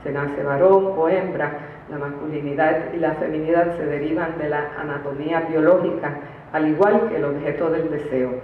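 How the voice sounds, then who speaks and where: A woman reads a formal academic paper at a conference in a measured, deliberate way. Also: low voice, steady tone. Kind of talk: formal academic lecture read from a prepared paper. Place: conference room, recorded from a distance. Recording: distant, very echoey room, phone-like, faint noise.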